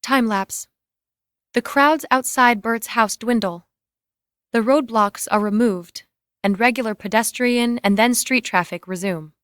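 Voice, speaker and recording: A woman's voice: a little high, unhurried, a bit bright, clear, resonant tone, dry recording. Female script narrator, dry sound. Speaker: narrator reading screen directions. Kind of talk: scripted narration of stage and camera directions.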